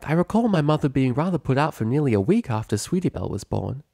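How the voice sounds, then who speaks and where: A man's voice: mid-range voice, sing-song, fast, vocal fry, warm tone, voice pushed up. A man voicing a genteel, affectionate young female pony with elegant, polite manners. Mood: doting.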